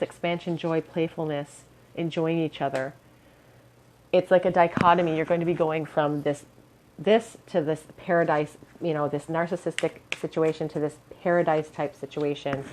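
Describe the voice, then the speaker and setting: A woman giving a pick-a-card tarot reading in a musing tone, her voice a little hoarse and scratchy, often clearing her throat. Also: low voice, a little mellow. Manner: musing, hedges with "I feel". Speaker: tarot reader.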